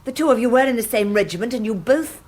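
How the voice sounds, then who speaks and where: A woman's voice: on the high side, animated, fast, darkish tone. An actress in a radio drama playing an indignant, distressed Englishwoman, with an English accent. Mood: shocked. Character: indignant, distressed Englishwoman confronting her brother.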